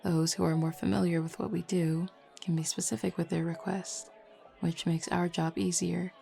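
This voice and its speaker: Low, creaky-voiced teasing werewolf beta played by a woman. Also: level tone, moderate pace, mellow. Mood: calm, explaining. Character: flirty, teasing, self-assured female werewolf pack beta. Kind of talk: roleplay audio drama, intimate casual address to listener. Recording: lo-fi music bed behind voice.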